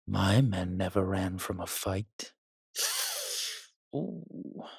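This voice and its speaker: A man's voice: low-pitched, expressive, creaky, darkish tone, slightly rough, dry recording, clean studio sound, heavily acted. An actor playing a suave, menacing male vampire in a roleplay audio, teasing and theatrical, flirtatious and possessive. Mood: mocking, threatening. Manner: slow, teasing, theatrical, speaks in endearments. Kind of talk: roleplay audio acting addressed to the listener.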